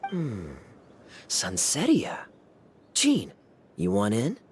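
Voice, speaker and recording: An actor playing a suave, teasing young knight in an anime-style video game, smooth and playful in his drawling delivery. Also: mid-range voice, huge pitch swings, drawn-out words, a little hiss or hum, full character voice. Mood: bemused.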